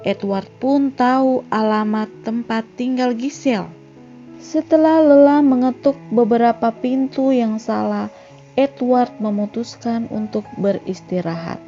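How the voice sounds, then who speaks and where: Lady doing scripted recap narration, kinda high voice, takes it slow, faint music under it. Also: mellow, lo-fi sound. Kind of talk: scripted narration of a film plot. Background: background music.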